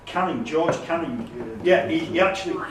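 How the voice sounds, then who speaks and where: A man's voice: fairly high pitch, normal speed. A man acting as quizmaster, reading quiz questions aloud. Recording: echoey room, distant mic, faint noise.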